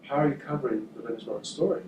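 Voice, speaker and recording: Man, halting talk, frequent fillers, distant mic, echoey room. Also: medium pitch. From northern New Jersey, USA. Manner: thoughtful, halting, with frequent fillers and repeated words. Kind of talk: talk to journalism students. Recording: echoey, distant, with background noise.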